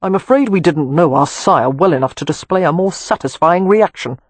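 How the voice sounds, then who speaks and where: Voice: medium pitch, normal speed, no room sound, close to an everyday voice. A reader voicing a brusque, sardonic young man who talks in a blunt, curt way. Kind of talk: audiobook character reading.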